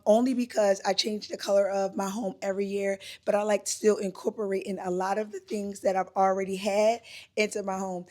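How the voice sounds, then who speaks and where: A woman vlogging casually from her home, her voice still hoarse from a recent cold, chatty and upbeat with frequent "like" and "um". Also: mid-range voice, a little mellow. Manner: chatty, upbeat, says "like" and "um" often. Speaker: lifestyle vlogger. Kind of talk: casual chat to camera. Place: at home, indoors.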